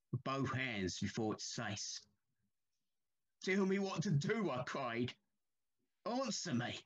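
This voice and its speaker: A man's voice: lowish voice, muffled recording. An actor playing a haunted, troubled railway signalman in a period audio drama, telling his tale with grave urgency. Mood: haunted, intense, then desperate.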